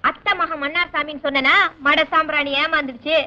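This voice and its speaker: A woman's voice: high voice, steady tone, a little fast, lo-fi sound, very noisy, lightly acted. An actress playing a cheeky young woman who pulls pranks and teases mockingly in an old film. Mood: teasing. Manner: teasing, mock-apologetic, dramatic.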